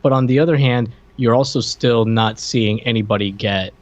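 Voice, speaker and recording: A man's voice: mid-range voice, moderate pace, dry recording, highs cut off. A man co-hosting a podcast, chatting casually about pop culture in a rambling way, repeating words as he thinks aloud. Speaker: podcast co-host.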